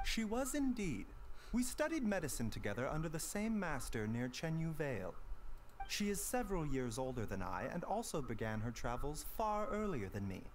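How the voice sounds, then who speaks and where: Courteous secretive game doctor, soft-spoken, animated melody, formal, faint background noise. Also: male voice, a little high, moderate pace. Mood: reflective. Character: gentle, secretive young male doctor, courteous and sly. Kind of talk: video game voice acting.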